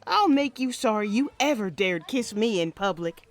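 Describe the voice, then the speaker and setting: A woman's voice: medium pitch, expressive, moderate pace, close to an everyday voice. A woman playing a proud, feisty sheriff in a comic western drama, with firm, folksy cowgirl talk. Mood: defiant, angry. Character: proud, feisty female sheriff of the Old West.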